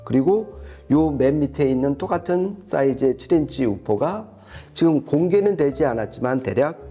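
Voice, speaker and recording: A man with a mellow, relaxed voice talks through a product review. He explains things step by step and often rephrases himself. Faint music plays behind him. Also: fairly high pitch, normal speed. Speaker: audio reviewer and co-host.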